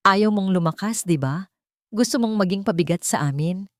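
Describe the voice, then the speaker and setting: A woman's voice: mid-range voice, lively intonation, normal speed, dry recording, studio quality, natural voice. Woman voicing a cold, scheming wife. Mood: coldly coaxing. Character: scheming, resentful younger wife, cold and bitter.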